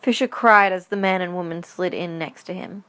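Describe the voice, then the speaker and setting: Female narrator, slightly low pitch, scripted storytelling, audio drama. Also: moderate pace, bright, forceful tone.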